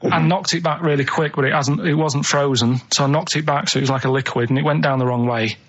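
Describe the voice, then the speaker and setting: A man's voice: on the high side, fairly even intonation, fast, dull audio. A man with a northern English accent chatting casually and telling rambling anecdotes in a plain-spoken, matter-of-fact way. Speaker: radio show regular telling anecdotes.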